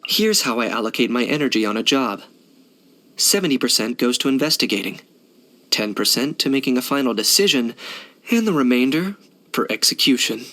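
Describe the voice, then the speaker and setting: An actor playing a cool, pragmatic young hunter for hire in a video game, deadpan, matter-of-fact and laconic. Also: male voice, medium pitch, fairly quick. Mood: businesslike. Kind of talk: video game character voice lines.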